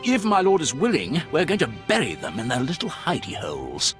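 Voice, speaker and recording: An actor playing a brash, gung-ho Imperial lieutenant in a video game, raspy and cocky with theatrical emphasis. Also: male voice, a little high, huge pitch swings, a little fast, over music. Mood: eager, cocky. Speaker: military officer character.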